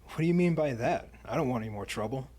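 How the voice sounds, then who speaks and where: A man playing a reluctant, uneasy college sidekick in an audio drama, with a husky voice. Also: mid-range voice, expressive, quick pace, a little mellow. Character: uneasy male college student, reluctant flunky.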